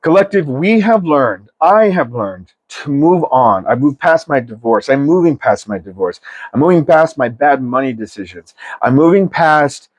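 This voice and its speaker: A man's voice: medium pitch, lively intonation, moderate pace, no echo, poor audio. Man giving an emphatic, slangy tarot reading. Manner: emphatic pep talk, slangy, mildly profane. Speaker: tarot reader. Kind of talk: informal, chatty tarot reading to viewers.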